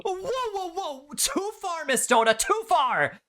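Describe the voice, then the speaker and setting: Prim flustered teenage girl, very high and jumpy voice, as a narrator puts her on. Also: huge pitch swings, normal speed, sharp tone, full character voice. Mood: panicked.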